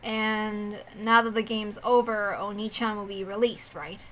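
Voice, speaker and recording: A woman voicing a tired, wistful young girl with a quiet, low-key manner in a story reading. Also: fairly even intonation, unhurried, slight background noise, close to an everyday voice, rough recording. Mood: weary, wistful.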